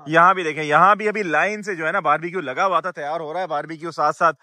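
A man in his thirties with a Pakistani Urdu accent, hosting a casual food vlog outdoors and reacting with excited exclamations. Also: on the high side, fast. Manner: excited, exclamatory, chatty with staff. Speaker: food vlogger. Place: outdoor restaurant at dusk.

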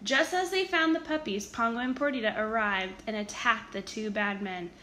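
A woman reads a children's storybook aloud with a high, bright voice. Her narration is expressive and emphatic. Noticeable background noise sits behind her. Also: normal speed. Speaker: storybook reader.

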